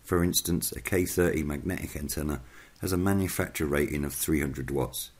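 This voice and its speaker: A man reading a scripted explainer narration in a measured, instructional way. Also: extremely low pitch, slightly rough.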